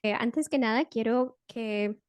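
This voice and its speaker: A woman's voice: a little high, slow pace, smooth, no room sound. A woman raised in the United States, with English as her first language, speaking Spanish with a mixed Latin American accent as a modest interview guest. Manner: modest, self-conscious about her Spanish. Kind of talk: podcast interview.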